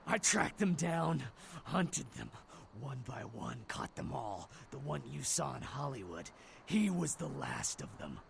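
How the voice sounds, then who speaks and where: Gravelly, bitter, vengeful vigilante. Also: male voice, medium pitch, very animated, measured pace, a little hiss or hum, full character voice. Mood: grim vengeance. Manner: defiant, seething, intense. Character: bitter, vengeful father turned ruthless vigilante. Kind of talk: dramatic video game voice acting.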